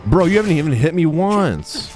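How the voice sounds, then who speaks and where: A man's voice: a little high, big pitch swings, moderate pace, darkish tone, faint music behind. A young man giving casual, slangy, joking live reactions to a game into a close mic. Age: young adult. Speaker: streamer. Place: indoor streaming room at home. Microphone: close microphone on arm.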